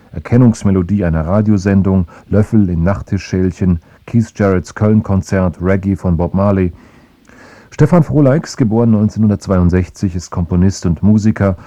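A man hosting a radio drama program, reading a formal, scripted introduction in a measured way. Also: low voice, warm tone, no room sound. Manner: measured, formal presenter delivery.

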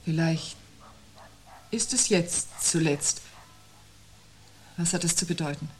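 An actress playing a sober, quietly fearful wife in a 1970s German radio drama, speaking in a measured, restrained way. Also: female voice, fairly low pitch, a little hiss or hum. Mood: subdued dread.

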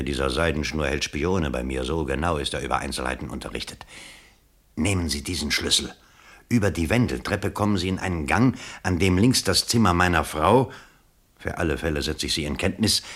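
An actor in a 1970s German radio play, playing a scheming, agitated bourgeois candidate with an urgent, commanding, theatrical delivery and a husky voice. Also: male voice, extremely low pitch, a bit bright, full character voice. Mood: secretive, conspiratorial.

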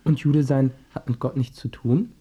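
A man's voice: medium pitch, moderate pace, warm tone, slightly rough. An actor playing a curious, earnest teenage boy in a radio drama, with a questioning manner.

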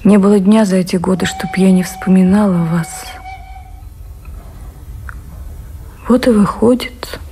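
A woman's voice: mid-range voice, a little fast, warm tone, natural voice. An actress playing a wistful woman in love, reminiscing intimately and lyrically in a radio play. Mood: wistful, loving. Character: wistful woman in love, recalling years before revolution.

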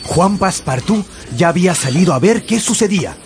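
A man's voice: sits high, animated, a little fast, background noise. A man narrating a radio drama in a theatrical, scripted storytelling style. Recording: music under the voice.

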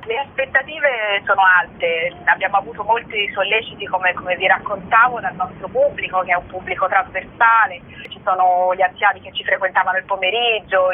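A woman explaining things as an interviewed cinema programme director. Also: mid-range voice. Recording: noisy, narrow phone-line sound on radio.

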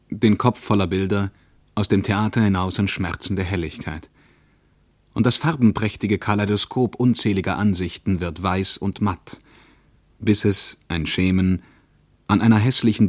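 An actor playing a pensive traveller reading his diary in a radio play, contemplative and measured. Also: low-pitched, dry recording. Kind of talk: literary monologue in radio play.

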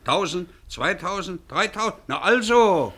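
An actor playing a brisk, practical innkeeper in a 1950s German radio drama. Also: male voice, high voice, expressive, pushed and bright, exaggerated voice. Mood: brisk, suspicious.

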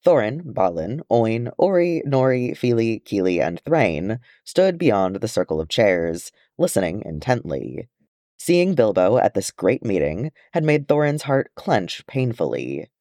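A man reading fantasy fiction aloud as an expressive storyteller. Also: lowish voice, a little slow, dry recording, clean studio sound. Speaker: amateur audiobook reader. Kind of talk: expressive audiobook narration of fantasy fan fiction.

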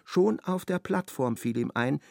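A man narrates a literary radio play with ironic expressiveness. His mid-pitched voice is gravelly and highly animated, recorded dry and close. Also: unhurried, no echo.

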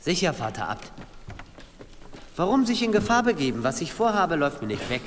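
Smooth-mannered traveller in echoing hall. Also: male voice, on the high side, big pitch swings, fairly quick. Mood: polite, evasive. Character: polite, evasive male traveller visiting a monastery. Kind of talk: radio play acting. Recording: reverberant hall with noise.

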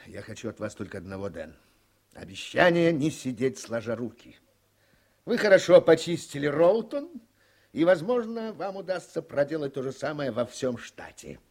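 Radio drama: newspaperman in his sixties, gruff and wry, higher-pitched male voice, very animated delivery. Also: normal speed. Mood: earnest, urging. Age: 60s. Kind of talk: Soviet-style radio play acting.

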